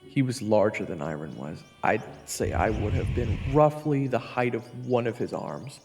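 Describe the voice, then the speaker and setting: A man narrates a scripted fantasy tale in a low voice with a gravelly fry. The voice carries a long reverb, and faint music plays behind it. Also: animated, normal speed.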